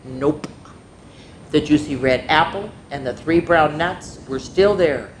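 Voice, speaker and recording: A woman hosting a children's story time, reading a picture book expressively, drawing out words and adding casual asides. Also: unhurried. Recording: echoey room with faint rumbling noise.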